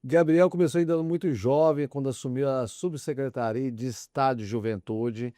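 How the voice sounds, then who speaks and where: A man's voice: mid-range voice, steady tone, moderate pace, mellow, no echo, studio quality. A male interviewer who introduces his guest and asks questions, with small restarts. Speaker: podcast interviewer. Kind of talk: interview hosting.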